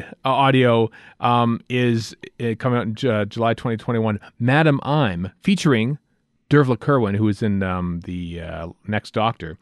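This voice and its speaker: A man hosting a podcast in casual chat, speaking haltingly with many ums and pauses as he runs through news. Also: mid-range voice, no echo, studio quality. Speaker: podcast host.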